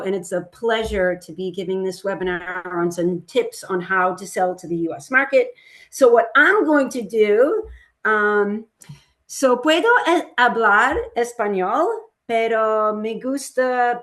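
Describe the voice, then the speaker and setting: A woman whose first language is Italian presents a webinar over a video call. She sounds upbeat and chatty, with a mid-range voice that sits neither high nor low for a woman. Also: fairly bright tone. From United States. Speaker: webinar presenter, trade expert. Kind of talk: casual presentation.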